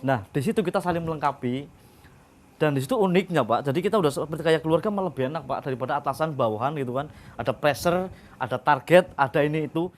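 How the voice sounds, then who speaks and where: A man talks quickly in a fairly high voice, chatty and polite as he answers questions. Faint noise hangs in the background. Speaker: interviewee. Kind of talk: interview answers.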